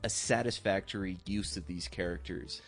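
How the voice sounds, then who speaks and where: A man with a deep, low-set voice holds a casual film discussion as a co-host. He is effusive and sweary, like an avid fan. Faint music plays behind him. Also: normal speed, a bit bright. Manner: effusive, profane fan talk. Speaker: podcast co-host.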